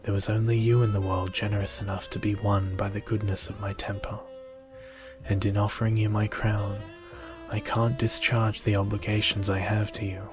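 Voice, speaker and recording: A man voicing a grateful, courteous young prince in a gentle, formal ASMR storybook reading. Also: on the low side, little pitch movement, normal speed, music in the background, lightly acted. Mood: grateful, calm.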